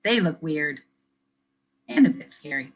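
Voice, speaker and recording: Husky-voiced woman reading aloud to children. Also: low-pitched, a little slow. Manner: gentle, expressive storybook reading with questions to young listeners. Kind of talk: children's read-aloud with teacher commentary.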